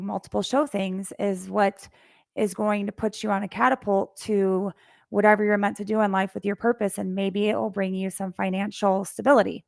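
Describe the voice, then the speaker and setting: Gravelly-voiced woman hosting a podcast. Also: mid-range voice, no echo, clean studio sound. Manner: warmly encouraging, talkative, laughs easily. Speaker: podcast host. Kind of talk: casual podcast interview.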